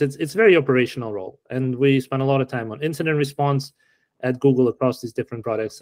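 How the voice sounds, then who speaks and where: A middle-aged man from Ukraine answering interview questions in a relaxed, explanatory way with frequent fillers. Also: medium pitch, no echo. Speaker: podcast guest.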